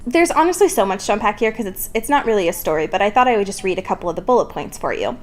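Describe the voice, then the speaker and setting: A woman's voice: medium pitch, animated, racing. A young woman co-hosting a casual, comedic fan podcast in a playful, informal, giggly way, swearing freely. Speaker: podcast co-host.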